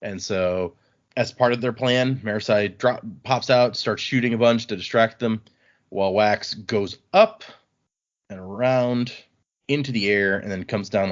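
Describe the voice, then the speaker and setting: A man hosting a podcast, casually recapping book chapters in a chatty, loose way. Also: on the low side. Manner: chatty, loose summarizing with fillers. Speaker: podcast host. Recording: slightly muffled lo-fi audio.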